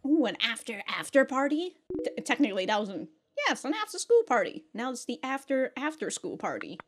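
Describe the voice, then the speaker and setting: A woman with a high-pitched voice reacts off the cuff as host of a reaction video. She talks in a casual, excitable way, swears freely and is quick to laugh. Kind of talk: casual live reaction commentary.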